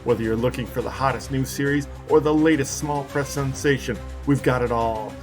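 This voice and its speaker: A man's voice: medium pitch, steady tone, a little fast. A man reading a scripted ad in an upbeat, salesy way. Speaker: ad announcer. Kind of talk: scripted radio ad read. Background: music bed.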